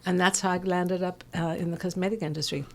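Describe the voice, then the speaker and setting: A woman's voice: fairly low pitch, fairly even intonation, quick pace. A woman in her sixties with an English South African accent, telling personal stories conversationally as an interview guest in a theatrical way. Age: 60s. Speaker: interview guest, fragrance expert.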